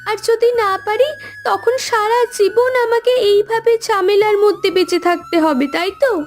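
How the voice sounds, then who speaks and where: A woman voicing a gentle young daughter-in-law in a cartoon, pleading and easily hurt. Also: very high-pitched, fairly even intonation, moderate pace. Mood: tearful, hurt. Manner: plaintive, pleading. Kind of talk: Bengali cartoon dialogue acting. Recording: background music under voice.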